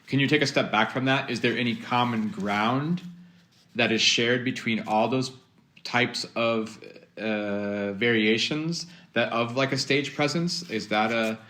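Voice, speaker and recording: A man's voice: medium pitch, unhurried, bright, forceful tone. A man hosting a podcast conversation, asking his guest questions in rambling bursts. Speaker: podcast host. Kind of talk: conversational interview.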